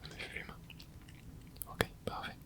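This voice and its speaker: A man hosting an ASMR channel, whispering soft, casual commentary to himself as he plays a video game, full of gamer slang. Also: takes it slowly. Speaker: ASMR gaming channel host.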